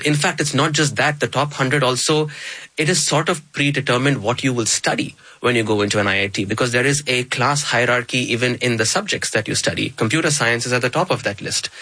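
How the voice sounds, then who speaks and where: A man from South India, a Tamil speaker, talks in a reflective interview. His voice is bright and on the low side, with an articulate, explanatory delivery. The sound is dry and close. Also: no echo. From Chennai, South India. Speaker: interview guest.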